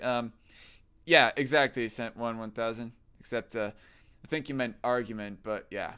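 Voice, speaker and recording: A man on a livestream who sounds bright and forceful, with a very clean, resonant voice. He argues and mocks in crude, casual commentary. He reads chat comments aloud as he goes. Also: medium pitch, clear, resonant tone. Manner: crude, mocking, argumentative. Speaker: livestream host.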